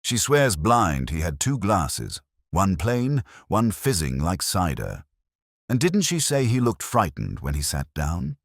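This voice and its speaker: Mężczyzna grający plotkarza z miasteczka. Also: male voice, deep voice, huge pitch swings, unhurried, dry recording, clean studio sound, voice pushed up, full character voice. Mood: conspiratorial. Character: eager small-town gossip passing on rumours.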